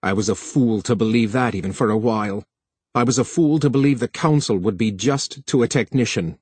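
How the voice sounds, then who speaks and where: A man reading an audiobook voices a desperate, angry young male technician, bitter and defensive, with a husky voice. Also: fairly low pitch, brisk, darkish tone, no room sound.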